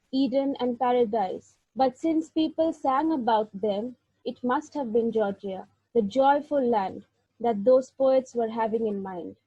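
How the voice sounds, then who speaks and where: A woman giving an illustrated lecture, reading verse aloud and narrating in a measured, deliberate way with occasional slips. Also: high-pitched, mellow, breathy edge, dry recording. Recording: dull, lo-fi sound.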